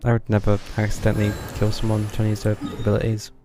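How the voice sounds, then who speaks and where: A man's voice: lowish voice, monotone, fast. A man streaming a video game with casual live commentary, reading dialogue aloud and mumbling through parts of it. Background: game music.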